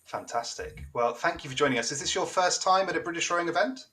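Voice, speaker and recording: A man's voice: on the high side, expressive, fast talker, pushed and bright. A man interviewing an athlete after a race, upbeat and encouraging.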